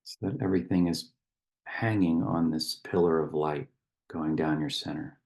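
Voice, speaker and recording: A man speaking as a meditation guide and poetry reader, in slow, measured phrases with long pauses and a husky, gravelly voice. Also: very deep voice, steady tone, a little mellow.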